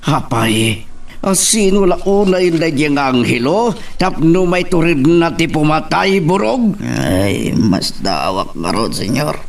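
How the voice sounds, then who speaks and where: Male actor as hot-tempered henchman, Filipino accent, gravelly, agitated, slightly distant mic, audio drama. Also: on the high side, exaggerated voice. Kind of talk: Filipino radio-style drama acting.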